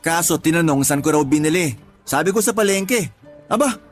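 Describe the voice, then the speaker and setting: An actor playing a harried young husband, complaining in a radio drama. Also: male voice, fairly high pitch, animated, normal speed, close to an everyday voice. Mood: exasperated. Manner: exasperated, complaining. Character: harried young husband, exasperated and put-upon. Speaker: husband of a pregnant partner. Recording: faint music bed behind voice.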